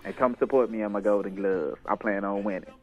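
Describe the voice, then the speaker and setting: A man with a fairly low voice speaks earnestly as a radio guest. He comes through a tinny phone line. Faint music plays behind him. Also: fairly even intonation, brisk. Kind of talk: radio interview over the phone.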